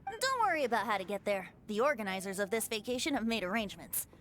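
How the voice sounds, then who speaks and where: A woman's voice: medium pitch, big pitch swings, quick pace, a bit bright. A woman voicing a teasing, youthful male bard in an anime video game, theatrical and coy. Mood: breezy, coaxing. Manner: theatrical, coy, sing-song. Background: music.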